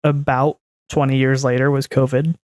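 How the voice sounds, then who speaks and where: A woman's voice: very deep voice, little pitch movement, normal speed, darkish tone, no room sound, studio quality. A woman in her twenties in casual discussion as a podcast co-host. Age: 20s.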